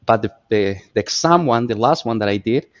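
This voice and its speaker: A man in his thirties from Colombia with a Colombian Spanish accent, a podcast guest telling stories in lively, repetitive phrasing. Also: on the low side, fairly quick, lo-fi sound. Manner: animated storyteller, repeats words while searching for phrasing. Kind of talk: casual interview talk.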